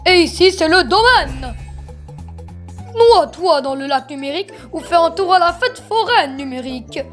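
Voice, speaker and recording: A woman's voice: extremely high pitch, animated, moderate pace, soft background music. A woman voicing a flamboyant, bossy circus ringmaster in a cartoon fan dub, announcing everything grandly and theatrically. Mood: showy.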